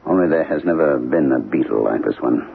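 Very deep, gravelly haunted professor. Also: male voice, animated, a little hiss or hum, heavily acted. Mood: grave, distant. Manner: brooding, halting, terse. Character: troubled, haunted archaeology professor, married man with grown children. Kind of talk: 1970s radio drama acting. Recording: tinny, narrow-band old radio broadcast.

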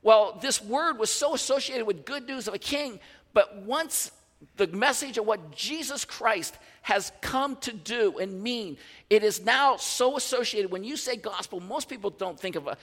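Male pastor, 50s, animated conversational sermon; high voice, reverberant hall. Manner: animated, conversational preaching with restarts and asides. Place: large church hall.

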